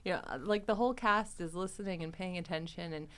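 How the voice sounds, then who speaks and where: A woman's voice: lowish voice, fast talker, fairly bright tone, clear, resonant tone. Chatty woman hosting a casual podcast. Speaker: podcast host. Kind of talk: casual chat about a comedy drama podcast.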